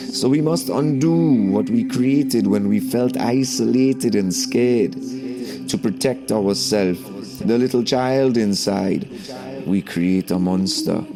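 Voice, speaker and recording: A man telling a scripted story for children as a spiritual lesson, deliberate and preachy, stressing key words. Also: fairly low pitch. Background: background music.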